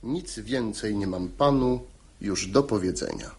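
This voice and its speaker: Slow, stern royal officer. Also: male voice, on the low side, husky. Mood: stern, dignified. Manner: formal, authoritative. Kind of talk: verse stage comedy performed as radio drama.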